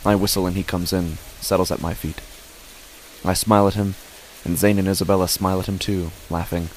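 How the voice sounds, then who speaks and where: A man's voice: slight background noise, poor audio. A man reading scripted horror fiction as a first-person narrator. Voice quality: low-pitched. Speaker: podcast host and narrator.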